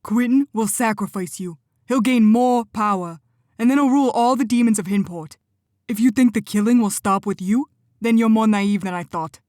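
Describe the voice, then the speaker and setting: Woman voicing a fierce young male shifter, loud and angry. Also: mid-range voice, takes it slowly, no room sound, clean studio sound. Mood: heated. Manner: loud, urgent, heated.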